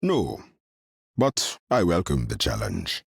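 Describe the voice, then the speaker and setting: An actor playing a commanding, calculating villain boss in a video game, deep and gravelly, speaking in a measured, authoritative way. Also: male voice, huge pitch swings, no room sound, studio quality, full character voice. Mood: stern, composed. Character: commanding, calculating male villain leader of a criminal organization.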